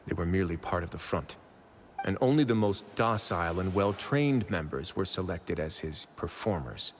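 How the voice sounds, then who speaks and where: An actor voicing a stern, calculating prison overseer in a video game, speaking in a deliberate, formal and authoritative way. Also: male voice, sits low, expressive, a little hiss or hum. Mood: grave, measured. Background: game music.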